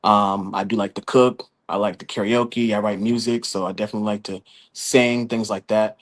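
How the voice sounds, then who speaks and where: Male contestant from Los Angeles, slightly low voice, earnest, halting delivery. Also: steady tone. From Los Angeles, California. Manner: earnest, many um fillers. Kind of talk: casual live chat.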